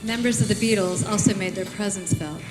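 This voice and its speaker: Woman reader, storytelling tone, loud music, hall reverb. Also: mid-range voice, a little fast. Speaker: guest reader. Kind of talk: reading a book excerpt.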